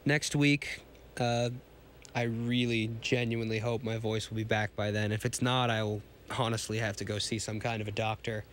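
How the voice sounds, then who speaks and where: A hoarse man with a cold, hosting a podcast and reading a pulp science fiction story aloud, often stumbling and restarting lines. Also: lowish voice, level tone, moderate pace, a little hiss or hum.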